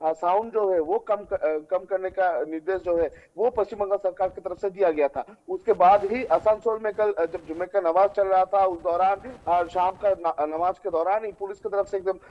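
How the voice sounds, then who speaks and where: A man giving an unscripted live news report as a field reporter, halting and repeating himself as he searches for words. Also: high voice, fairly even intonation. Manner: halting, repetitive, stumbling over words. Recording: dull, lo-fi remote link.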